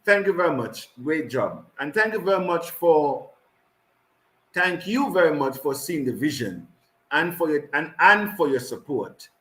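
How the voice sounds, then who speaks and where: A man's voice: fairly high pitch, big pitch swings, bright, forceful tone, roomy sound. A man hosting an online community call, reading out viewers' greetings by name, stumbling over names and laughing often. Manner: reads names haltingly with frequent laughs.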